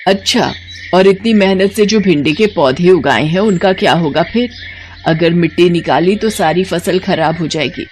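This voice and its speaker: A woman voicing a poor, worried elderly village mother-in-law who talks in a weary, plaintive, blunt way in an animated story. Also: on the low side, normal speed, natural voice. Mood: fretful. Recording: noticeable background noise.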